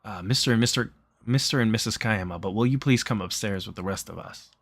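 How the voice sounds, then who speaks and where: A man with a fairly low voice reads a story game aloud as a streamer. His delivery is plain and conversational, slipping between narration, dialogue and casual chat. The sound is dry and close, with very little room. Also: fast talker, slightly rough, no room sound. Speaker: streamer reading a visual novel aloud.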